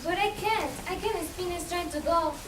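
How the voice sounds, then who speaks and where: A frightened young peasant daughter a woman acting pleads in a high voice, anxiously repeating herself. It is stage-play speech, recorded at a distance with clear noise around it. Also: normal speed. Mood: desperate. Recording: distant echoey room, clear background noise.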